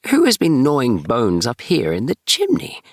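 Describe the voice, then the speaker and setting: A man's voice: medium pitch, huge pitch swings, moderate pace, no room sound, studio quality, voice pushed up. A man voicing a timid young boy kitten for a storybook, plaintive, hesitant and bewildered. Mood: puzzled, uneasy. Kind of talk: storybook character voice.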